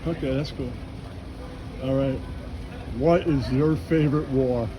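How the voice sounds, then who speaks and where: A man's voice: medium pitch, steady tone, measured pace, dark tone. A man doing a casual street interview as the interviewer, asking blunt questions one after another. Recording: noisy outdoor urban street with traffic.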